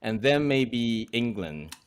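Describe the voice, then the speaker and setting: Young man from China, non-native English speaker, animated and laughing guest in a casual interview. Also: mid-range voice, slow, smooth. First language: Chinese. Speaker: guest artist.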